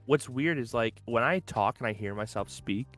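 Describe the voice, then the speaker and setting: A man co-hosting a relaxed online podcast, rambling through his thoughts in a mid-range voice. He leans on "like" and "you know" constantly. Faint music plays under the talk. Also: medium pitch, normal speed. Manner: rambling, heavy use of "like". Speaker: podcast co-host. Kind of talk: casual chat. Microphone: open-ear headphones and desk mic.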